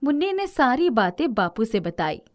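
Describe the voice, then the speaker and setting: High-voiced woman telling a children's story. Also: sing-song, moderate pace. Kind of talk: scripted children's story narration.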